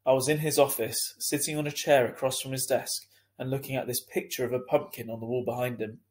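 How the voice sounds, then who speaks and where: A man narrating a children's book as a teacher reading aloud, deliberate and expressive. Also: medium pitch.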